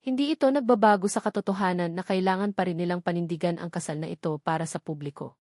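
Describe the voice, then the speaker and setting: A woman's voice: on the low side, fast, a little mellow, no room sound, clean studio sound. A woman reading a scripted romantic drama audiobook as its narrator.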